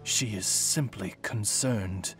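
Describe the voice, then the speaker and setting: A man voicing a refined, loyal raven familiar in a game, formal and measured. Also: fairly low pitch, fairly bright tone, faint music behind. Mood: grave, polite. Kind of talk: game cutscene voice acting.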